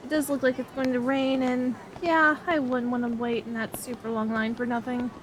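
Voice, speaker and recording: A woman playing an expressive, girlish young best friend, sweet and sometimes hesitant, in an ASMR roleplay. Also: a little high, normal speed, a little hiss or hum, lightly acted, poor audio. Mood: excited. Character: excitable young woman on an outing with her best friend, sweet and easily discouraged. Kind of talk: ASMR roleplay audio drama addressed to the listener.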